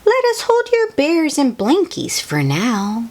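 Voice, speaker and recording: Woman putting on a high, coaxing doting parent. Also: huge pitch swings, takes it slowly, darkish tone, a little hiss or hum, heavily acted. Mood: coaxing, reassuring. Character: doting, reassuring parents of kindergarten children.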